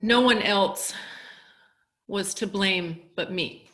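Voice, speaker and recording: A woman playing a grieving, guilt-ridden mother in a halting, emotional theatrical monologue with heavy pauses. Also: medium pitch, fairly bright tone. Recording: echoey room over video call.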